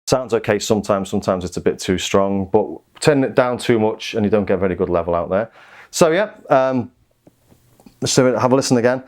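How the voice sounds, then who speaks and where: A man's voice: fairly low pitch, expressive, brisk, a little mellow. A man giving a casual product demonstration, informal and understated, thinking aloud as he goes. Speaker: synth reviewer and demonstrator.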